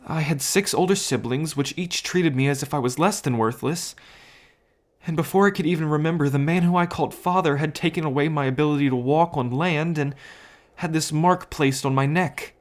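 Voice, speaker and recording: A man's voice: on the high side, moderate pace, lightly acted. A man voicing a bewildered, timid young merman who is turning half-dragon, speaking hesitantly in an ASMR story roleplay. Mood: wounded, subdued. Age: young adult. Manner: hesitant, stammering.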